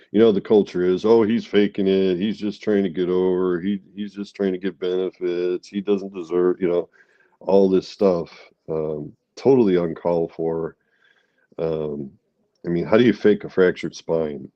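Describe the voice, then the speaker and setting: A man's voice: low-pitched, no room sound, muffled recording. A middle-aged man, an army veteran, congested from a cold, speaking candidly in an interview with many fillers and restarts.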